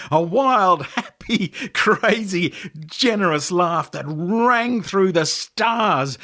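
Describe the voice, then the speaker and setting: An Australian man reading a story as its author, with expressive, dramatic delivery and deliberate pauses. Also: fairly high pitch. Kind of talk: dramatic storytelling, scripted narration.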